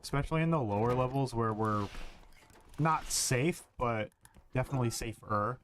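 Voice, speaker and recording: A man's voice: mid-range voice, normal speed, fairly bright tone, a little hiss or hum. A man streaming a game, chatting casually and thinking aloud with hesitant fillers. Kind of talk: casual gaming commentary.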